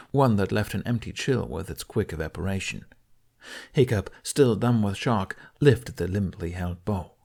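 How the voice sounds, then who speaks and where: Low-voiced man narrating dramatic fantasy fiction. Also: a little mellow. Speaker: audiobook narrator.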